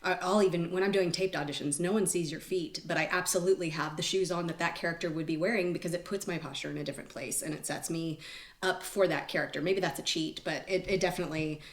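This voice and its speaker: A woman's voice: lowish voice, fairly even intonation, quick pace. A woman answering interview questions conversationally as a guest actor on a podcast. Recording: roomy, echoey indoor sound.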